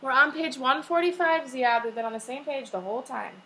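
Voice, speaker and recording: A woman teaching young children in a classroom, reading aloud and instructing deliberately, with repeated questions and key phrases. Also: sits high, a bit bright, a little hiss or hum, low-quality recording. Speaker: elementary school teacher. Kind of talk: classroom read-aloud lesson.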